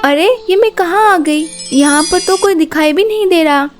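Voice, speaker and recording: A woman voicing a curious, polite and innocent young girl in an animated moral story. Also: very high-pitched, moderate pace, over music, pitched above the performer's own voice. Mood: surprised, curious.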